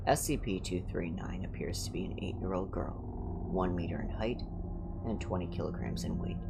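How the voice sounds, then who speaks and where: A woman with a very deep voice reads stories aloud as a livestream host. Her pitch moves in a lively way between the reading and casual remarks. Music plays clearly behind her. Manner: switches between upbeat chat and serious, measured reading.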